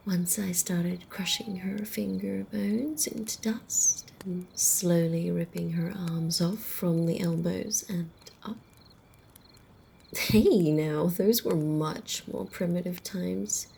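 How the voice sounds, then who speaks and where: An ancient vampire woman, acted by a woman, talks in a low-pitched, mellow and often whispered voice. She takes her time, teasing and amused, with casual menace under the softness. It is an intimate ASMR roleplay delivery at a very slow, conversational pace. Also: fairly even intonation, slight background noise, heavily acted. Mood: darkly amused menace. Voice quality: soft, breathy, often whispered. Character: centuries-old female vampire, wry, teasing, casually menacing.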